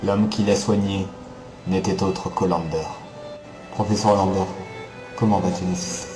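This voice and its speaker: A man playing a grave, composed elite soldier in a dramatic game dub, speaking in a measured, solemn, terse way. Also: deep voice, steady tone, music in the background, lightly acted. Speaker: fan dub voice actor.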